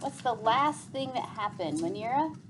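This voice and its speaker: A woman teacher reads aloud with careful, expressive diction. Her voice sits fairly high and moves animatedly up and down at a leisurely pace. Some background noise sits behind her. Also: moderate pace. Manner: expressive, clearly enunciated teacherly delivery. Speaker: teacher reading aloud to pupils. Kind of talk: read-aloud storytelling with questions to pupils.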